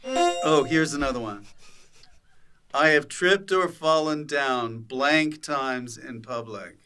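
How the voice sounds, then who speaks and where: A man's voice: mid-range voice, takes it slowly, pushed and bright, soft background music. A man hosting a podcast, bantering playfully with a guest and riffing in a jokey, teasing way. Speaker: podcast host.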